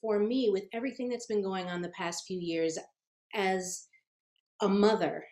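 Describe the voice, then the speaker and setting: A woman, a mother, speaking softly and introspectively on a panel discussion, with frequent "um"s. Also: medium pitch, normal speed. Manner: soft-spoken, introspective, frequent "um". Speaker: children's book author on a panel. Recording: echoey room.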